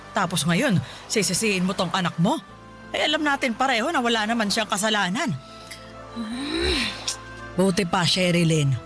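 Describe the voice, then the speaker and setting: A woman's voice: mid-range voice, close to an everyday voice. A woman playing a caring but scolding old grandmother in a Filipino radio drama, speaking with reproachful, pleading emphasis.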